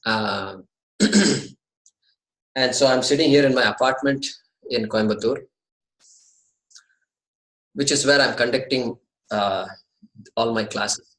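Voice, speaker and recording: Brief: Indian man in his fifties, courteous teacher on a video call, mid pitch, roomy echo. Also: slow, pauses often, a bit bright. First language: Tamil. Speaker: Sanskrit teacher. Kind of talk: online class orientation talk. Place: his apartment. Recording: echoey room over video call.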